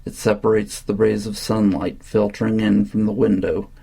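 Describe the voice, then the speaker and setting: Man, guided meditation narration, husky and gravelly, mellow tone, calm, measured, very flat intonation. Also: on the low side.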